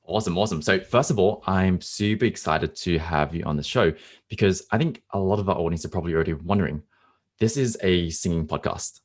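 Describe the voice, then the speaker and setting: A man's voice: sits low, fairly quick. A man hosting a podcast interview, enthusiastic and given to repeating words for emphasis. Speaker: podcast host.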